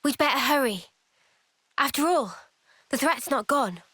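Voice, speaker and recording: A woman playing an earnest, sensitive young soldier in a fantasy game drama, speaking softly and hesitantly. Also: high voice, expressive, measured pace, no echo, clean studio sound. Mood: quietly resolved. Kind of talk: dramatic game cutscene acting.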